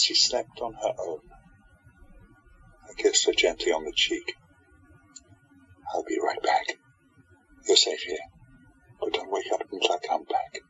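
A man's voice: deep voice, a bit bright, breathy edge, full character voice. An actor playing a protective, devoted hero who narrates and speaks his part in a radio play, earnestly, on dull lo-fi audio. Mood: tender. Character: protective, devoted male hero with secret powers. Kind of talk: radio play acting and first-person narration.

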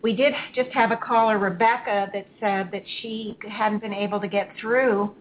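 A woman's voice: mid-range voice, fairly even intonation, normal speed, phone-quality sound. A woman hosting a call-in radio show, chatty and stop-start, often laughing.

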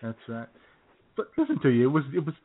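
A man's voice: medium pitch, wildly swooping pitch, fast talker. A man co-hosting a casual radio show with jokey, rambling, slightly hesitant banter. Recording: thin phone-like audio.

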